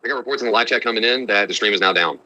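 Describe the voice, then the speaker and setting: A man's voice: lowish voice, rapid-fire, sharp tone, rough recording. A man co-hosting a livestream in casual, chatty back-and-forth.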